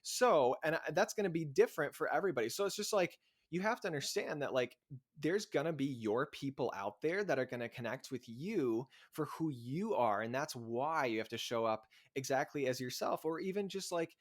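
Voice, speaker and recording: Chatty excitable young man. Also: on the high side, normal speed. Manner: excited, chatty, laughs often, fills with "like". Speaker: podcast guest. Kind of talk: casual podcast interview.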